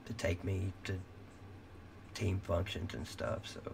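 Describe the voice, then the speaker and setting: Gravelly middle-aged man losing his voice. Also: low voice, level tone, slow, background noise. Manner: rambling, candid, frequent restarts and swearing. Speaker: livestream host. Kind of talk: late-night casual livestream chat.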